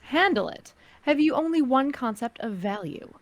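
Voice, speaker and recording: A woman plays a ruthless female syndicate boss in a space crime drama. Her delivery is imperious and deliberate, with icy menace and widely swinging intonation. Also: high voice, lively intonation, dry recording. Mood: scornful anger. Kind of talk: fan script table read, dramatic acting.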